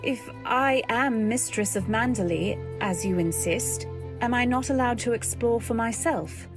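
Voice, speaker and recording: A woman narrating and acting a young wife in an old-time radio drama, earnest, anxious, and gentle. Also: on the high side, a little slow, over music. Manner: earnest first-person storytelling, anxious and gentle. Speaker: narrator and actor.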